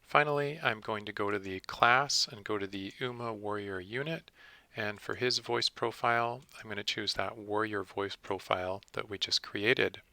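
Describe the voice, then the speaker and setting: A man presenting a software tutorial, explaining each step methodically in a close-miked voice-over. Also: lowish voice, fairly even intonation, moderate pace, creaky, no echo. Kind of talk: step-by-step screen tutorial narration.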